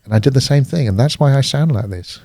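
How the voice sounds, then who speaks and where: A man's voice: mid-range voice, fast, creaky, warm tone, dry recording, clean studio sound. A man with a British accent, a coach talking reflectively as a guest in a casual podcast conversation, often searching for his words.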